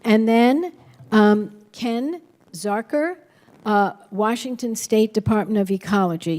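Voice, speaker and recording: An older woman hosting a press conference, introducing speakers one at a time in brisk remarks. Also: medium pitch, dark tone.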